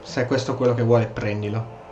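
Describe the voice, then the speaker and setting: A man's voice: mid-range voice, level tone, fast talker, highs cut off, over music. A man giving casual, blunt, colloquial commentary while playing a video game. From Italy. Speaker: gamer, video host.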